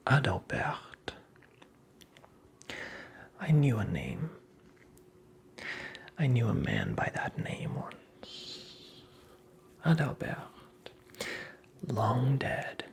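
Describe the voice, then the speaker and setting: A performer playing a creepy, teasing, very old male magical imp in a whispered ASMR roleplay, with breathy, creaky, theatrical delivery. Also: mid-range voice, big pitch swings, heavily acted. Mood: mysterious, teasing. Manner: slow, theatrical, taunting, toying with the listener.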